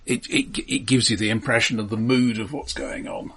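A man in casual discussion as a film podcast host, analytical and measured, pausing and hesitating as he reasons. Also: medium pitch, steady tone, fairly bright tone, breathy edge.

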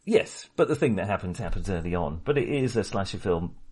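Husky, low-voiced man, lively pitch. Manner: hesitant, halting, self-correcting. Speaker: film podcast co-host. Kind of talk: casual film discussion.

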